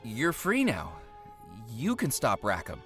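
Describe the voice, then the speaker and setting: An actor voicing a curious, wry investigator who questions dryly. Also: male voice, medium pitch, wildly swooping pitch, slow pace, bright, forceful tone, exaggerated voice. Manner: dry, questioning. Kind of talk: video game voice acting. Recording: faint game music behind.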